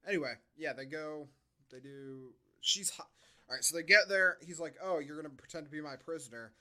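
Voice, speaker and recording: A man with a slightly high-pitched, very bright and forceful voice chats casually as a podcast co-host. He recaps a film in a comedic, sardonic style, as informal conversation. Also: a little fast.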